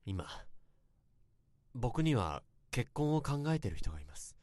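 A man's voice: mid-range voice, big pitch swings, a little slow, a little mellow, dry recording. An actor playing an earnest, self-reproaching young man who confesses his regrets in an emotional radio drama monologue. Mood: bittersweet. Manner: emotional first-person confessing, swinging into outbursts.

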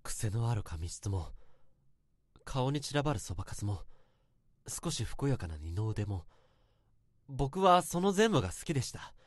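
A man's voice: medium pitch, sing-song, moderate pace, pauses often, no room sound, studio quality. An actor playing an earnest, self-reproaching young man who confesses his regrets in an emotional radio drama monologue. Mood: tender. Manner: emotional first-person confessing, swinging into outbursts.

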